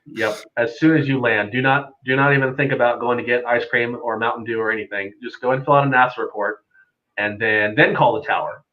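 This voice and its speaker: A man's voice: mid-range voice, fairly even intonation, a little fast, dull audio. A man, an air traffic controller guesting on a live stream, explaining things casually in an easygoing, conversational way. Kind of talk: casual unscripted explanation with anecdotes.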